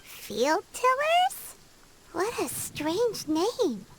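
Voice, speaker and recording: A tiny, childlike fairy sidekick from a video game. The voice is squeaky and extremely high, with lively swings in pitch. The delivery is unhurried, inquisitive and excitable. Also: female voice, background noise, exaggerated voice. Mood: puzzled. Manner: talks about self in third person, inquisitive. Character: small, childlike floating fairy companion, excitable and curious.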